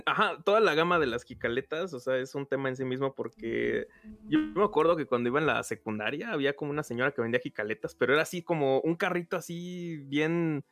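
A man's voice: on the high side, animated, fast, a bit bright, soft background music. A Mexican man co-hosting a podcast, chatting in a rambling, slangy way. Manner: slangy, rambling, laughs often. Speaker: podcast co-host. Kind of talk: casual chat.

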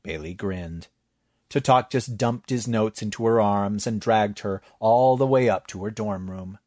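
A man narrating a short story in a measured, even reading. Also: lowish voice, no room sound, studio quality. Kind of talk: scripted narration.

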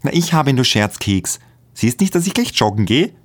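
A man's voice: sing-song, normal speed. Man putting on a sassy young Austrian woman. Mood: teasing. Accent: Austrian German. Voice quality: high, sassy. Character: snippy sporty young woman, teasing.

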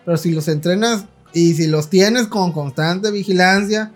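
A man hosting a podcast in casual chat, with a Mexican Spanish accent, slangy and quick to laugh as he reads comments. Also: high-pitched, soft background music. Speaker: podcast host.

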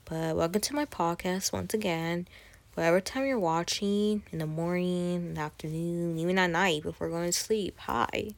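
A woman's voice: fairly low pitch, moderate pace, gravelly. A woman, hosting her own podcast in a casual, chatty monologue full of fillers and restarts. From Texas, USA. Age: 20s. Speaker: podcast host.

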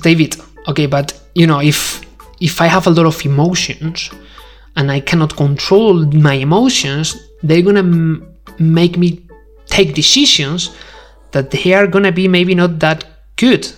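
Spanish-accented male podcast host, emphatic delivery. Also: on the high side. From Spain. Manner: emphatic, addresses listener with rhetorical questions. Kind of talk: conversational educational monologue to the listener.

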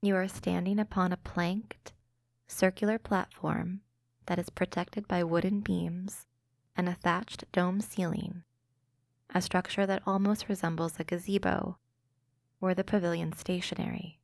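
Soothing, flat-voiced meditation guide woman. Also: fairly low pitch, creaky, no room sound, clean studio sound. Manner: slow, soothing, gentle delivery. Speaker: meditation podcast host.

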